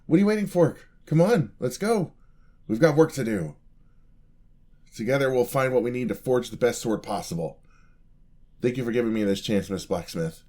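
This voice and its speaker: A man's voice: medium pitch, expressive, moderate pace, long pauses, darkish tone, lightly acted. A man playing an eager, charming young apprentice paladin in a fantasy ASMR roleplay, talking to the listener in a polite, easily flustered way. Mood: eager, excited. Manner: polite, flustered, hesitant, chatty.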